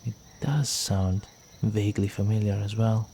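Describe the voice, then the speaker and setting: A man plays an ancient, flirtatious vampire noble in an intimate fantasy audio roleplay. His low voice moves slowly and stays very even, courting the listener with formal, teasing seduction and quiet menace. Music plays behind him. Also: a little hiss or hum, natural voice. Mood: seductive, teasing.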